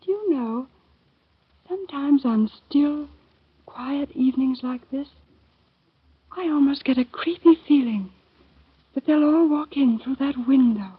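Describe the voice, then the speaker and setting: A sly, composed teenage niece. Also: female voice, high-pitched, measured pace, long pauses. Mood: wistful. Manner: composed, soft, grave. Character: sly teenage girl niece, calm storyteller. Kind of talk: 1930s radio acting. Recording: thin phone-like old radio recording.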